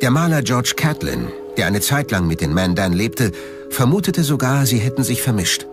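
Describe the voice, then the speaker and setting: A man's voice: mid-range voice, big pitch swings, moderate pace, music in the background. A man narrating a documentary from a script.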